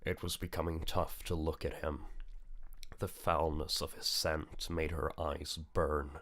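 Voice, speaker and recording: A man reading a visual novel aloud as narrator, in a grave, deliberate storytelling manner. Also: very deep voice, level tone, fairly bright tone.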